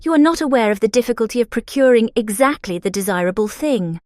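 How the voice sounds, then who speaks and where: Actress as a pushy gentlewoman, British accent, self-important, gushing, patronising, period audiobook drama, dry close sound. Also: a little high, lively intonation, quick pace, no echo, natural voice. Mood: officious, boastful. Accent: British English. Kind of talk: dramatized classic novel audiobook.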